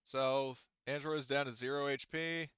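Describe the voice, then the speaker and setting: A man's voice: medium pitch, steady tone, moderate pace, a bit bright, clean tone, no echo. A man running a tabletop game as game master, narrating casually and informally with some swearing, on slightly dull audio.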